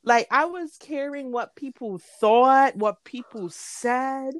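A woman's voice: high voice, slow pace, dry recording. A woman, co-hosting a casual chat, frank and pressing with her questions. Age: late 20s. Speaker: podcast co-host.

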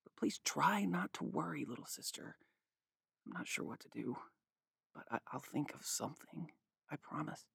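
Woman doing a hushed raspy little boy, gravelly and breathy, tries to sound brave. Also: low-pitched, sing-song, normal speed, no room sound, studio quality, voice pushed down, full character voice. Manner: hushed, reassuring.

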